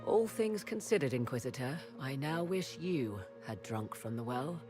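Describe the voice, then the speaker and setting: An actress playing a proud, guarded sorceress in a fantasy video game, speaking in a solemn, formal and dramatic way. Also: female voice, extremely low pitch, expressive, moderate pace. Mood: subdued, resolute. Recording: game music under the voice.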